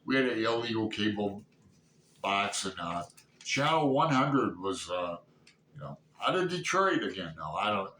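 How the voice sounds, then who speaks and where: Slow, low-voiced man in his sixties, echoey room. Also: very animated, pushed and bright. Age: 60s. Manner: halting, rambling, thinking aloud. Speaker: book reviewer vlogger. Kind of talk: casual unscripted book chat. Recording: roomy echo, distant mic, background noise.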